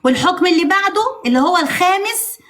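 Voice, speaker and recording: A woman's voice: very high voice, lively intonation, quick pace, a bit bright. A woman with an Egyptian accent in a casual live chat, teasing and insistent in a mock-stern way. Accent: Egyptian Arabic. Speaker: live stream participant.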